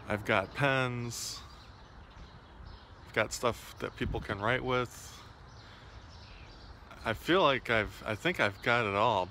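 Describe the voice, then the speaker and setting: Male vlogger, 30s, bright forceful voice, casual diary delivery, faint street noise behind. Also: fairly low pitch. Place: outdoors on city residential streets. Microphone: phone at arm's length.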